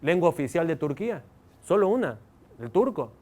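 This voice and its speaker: Questioning Mexican professor, high-ish voice. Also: male voice, very animated, normal speed, darkish tone. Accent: Mexican Spanish. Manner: engaging, fires rhetorical questions at the audience. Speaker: university professor. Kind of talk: lecture to students. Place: university lecture hall.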